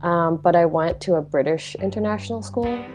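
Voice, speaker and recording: A woman's voice: on the low side, normal speed, a little mellow, soft background music. A young woman from Hong Kong, a guest artist talking casually and reflectively about her work, poking fun at herself along the way. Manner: reflective, self-deprecating, loose with fillers. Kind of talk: casual conversational interview.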